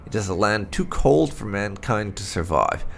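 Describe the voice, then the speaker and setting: A man hosting a gaming channel, reading fantasy game lore aloud in a measured storytelling way. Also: lowish voice, expressive, vocal fry, a bit bright, a little hiss or hum. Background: background music.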